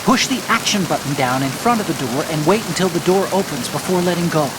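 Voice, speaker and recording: A man's voice: on the high side, a little fast, close to an everyday voice. A man voicing an earnest, brainy American support partner in a video game, speaking precisely and instructively. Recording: noisy game audio with rain.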